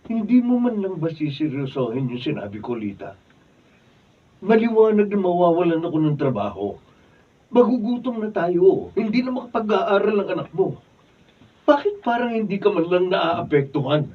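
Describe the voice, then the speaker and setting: A man's voice: sits high, sing-song, normal speed, husky, heavily acted. A man acting a worn-down, grumbling road labourer husband of about fifty in a Filipino radio drama, with a plaintive manner. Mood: distressed, pleading. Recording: distant, dull, faintly noisy studio recording.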